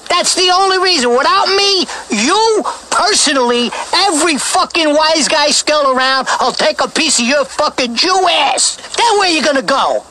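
A man's voice: extremely high pitch, animated, moderate pace, hardly any pauses, bright, forceful tone, slight background noise, exaggerated voice. An actor playing a furious foul-mouthed mobster man, ranting and threatening with heavy swearing, in a film clip.

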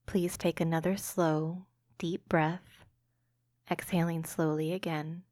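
A woman hosting a guided imagery meditation podcast, speaking slowly and gently into a close mic. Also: lowish voice, no room sound. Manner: slow, soothing, gentle delivery.

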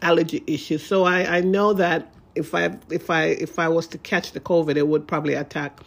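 A blogger with a congested nose, so every word comes out nasal. They read a written passage aloud at a measured pace. When they explain it in their own words, they stall and repeat themselves. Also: mid-range voice, fairly even intonation, gravelly. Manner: halting and repetitive when speaking off the page. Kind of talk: reading a relationship post aloud, then explaining it.

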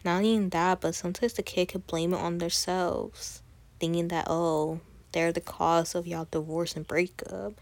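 A young woman in her twenties hosts her own podcast, talking casually in a chatty, easygoing way. Her voice sits fairly low with a gravelly, fry-laden edge. She, and the recording is dry and close. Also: level tone, normal speed, a little mellow, no room sound. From Texas, USA. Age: 20s. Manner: chatty, conversational, with frequent fillers and restarts. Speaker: podcast host. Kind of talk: casual student podcast monologue.

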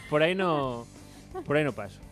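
A man's voice: on the high side, animated, measured pace. A young man with a Spanish accent, hosting a casual, bantering podcast chat. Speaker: podcast host. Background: music.

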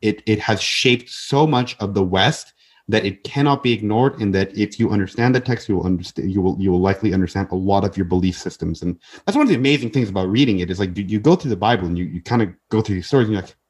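A man's voice: fairly low pitch, lively intonation, quick pace, slightly rough. A man in his twenties, a podcast guest talking earnestly in casual conversation, often restarting and repeating words. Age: 20s.

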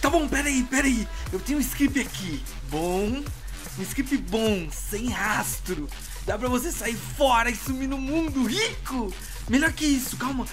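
A man's voice: very high-pitched, lively intonation, unhurried, music in the background. A man playing a terrified, desperate victim in an audio drama, pleading and bargaining frantically for his life with coarse language. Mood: frantic bargaining. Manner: pleading, frantic, foul-mouthed.

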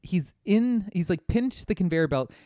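A man's voice: high-pitched, animated, normal speed, mellow, no room sound, clean studio sound. A man in casual podcast conversation, recounting things in detail as he talks about a video game. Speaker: podcast guest or host discussing a video game. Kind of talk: casual chat.

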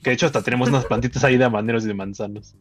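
A man's voice: medium pitch, steady tone, rapid-fire, faint music behind. A Mexican man co-hosting a podcast, joking around in slang. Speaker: podcast co-host. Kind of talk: casual chat.

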